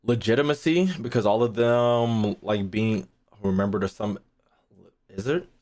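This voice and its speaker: A man's voice: on the low side, a little slow, darkish tone. A man thinking aloud as a gaming streamer, casual and slangy, swearing freely, repeating phrases and trailing off mid-thought.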